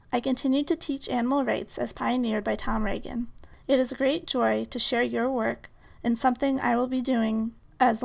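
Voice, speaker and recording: A woman's voice: a little high, creaky. A middle-aged woman, a professor, delivering a scripted spoken tribute. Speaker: philosophy professor.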